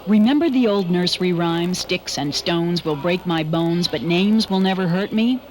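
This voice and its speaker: A woman narrating a short documentary in a measured, earnest voice-over. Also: lowish voice, darkish tone, low-quality recording. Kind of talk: scripted narration. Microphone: studio voice-over.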